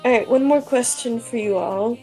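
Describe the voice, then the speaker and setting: A woman playing in a tabletop game, who speaks hesitantly and haltingly, with plenty of fillers. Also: on the high side, warm tone, soft background music, poor audio. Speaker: player.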